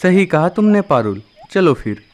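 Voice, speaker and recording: A man voicing a clever male crow who runs a hotel in a cartoon, agreeing and explaining calmly. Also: sits high, moderate pace, slight background noise.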